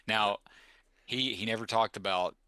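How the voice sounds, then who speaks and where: A man's voice: lowish voice, measured pace, sharp tone, smooth, no room sound. A middle-aged man, a podcast co-host, chatting casually and rambling through personal reminiscences.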